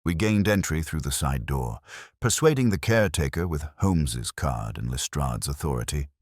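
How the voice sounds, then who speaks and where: An extremely low-pitched man narrates scripted mystery fiction in a measured, dramatic manner. His intonation moves expressively, and the sound is close and dry. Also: animated, darkish tone, no echo. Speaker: narrator of detective audio fiction. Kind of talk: scripted first-person mystery narration, audiobook reading.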